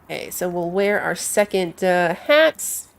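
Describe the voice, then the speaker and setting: A woman's voice: fairly low pitch, animated, unhurried, vocal fry. Chatty woman streaming a game. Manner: chatty, thinking aloud. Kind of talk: casual live gameplay commentary.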